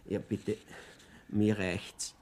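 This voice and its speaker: A man's voice: lowish voice, flat delivery, very slow, slight background noise. A man acting a middle-aged postman in a radio play, dryly defiant under a deferential surface. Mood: dry, weary. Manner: dry, deferential on the surface, quietly sly.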